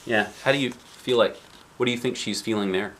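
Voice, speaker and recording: A man's voice: lowish voice, fairly quick, fairly bright tone, slight background noise, low-quality recording. A man reading a picture book aloud as a dad would, expressively, with casual asides to children and weighty emphasis on key lines.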